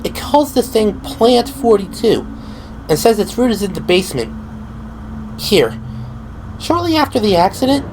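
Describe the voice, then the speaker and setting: A man's voice: sits high, noisy background, pitched above the performer's own voice. A man voicing a worried young woman who intently reads research papers aloud in an audio drama. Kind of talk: audio drama character dialogue.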